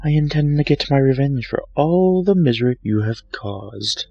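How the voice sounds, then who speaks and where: A man's voice: expressive, moderate pace, vocal fry, breathy edge, lo-fi sound. A man voicing a boastful, vengeful female unicorn magician with a haughty, theatrical, taunting manner, in a story reading. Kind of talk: fan fiction story reading. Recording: low-grade mic with music bed.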